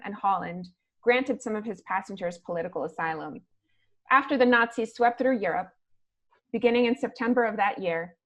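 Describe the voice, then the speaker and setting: A woman hosting a commemorative museum event. She reads a prepared introduction in a measured, formal way, with the odd "um" slipping in. Her voice sits at a middle pitch. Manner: measured, formal, with occasional fillers. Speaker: museum public programs manager, event host. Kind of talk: reading a scripted introduction.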